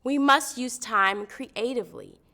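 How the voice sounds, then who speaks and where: Woman reader, high bright voice, earnest and emphatic delivery of a historic letter. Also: a little slow. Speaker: reader at a commemorative public reading. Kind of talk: reading a historic letter aloud.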